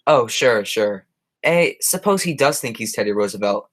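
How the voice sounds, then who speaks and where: A young man acting a friendly, plain-spoken policeman in a high school radio play, folksy and polite. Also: animated, moderate pace, a bit bright. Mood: folksy, easygoing.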